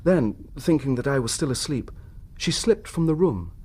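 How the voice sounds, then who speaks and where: Troubled husband, slightly rough voice, very expressive intonation, period radio drama, precise literary diction. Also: male voice, medium pitch, normal speed. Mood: grave, puzzled. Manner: formal, precise, literary phrasing. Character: troubled, suspicious husband recounting his wife's secret.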